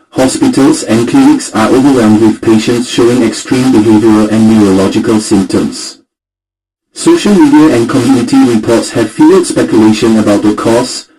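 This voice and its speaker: A man's voice: medium pitch, warm tone, husky, lightly acted, rough recording. A man playing a formal, serious correspondent delivering a scripted radio news report.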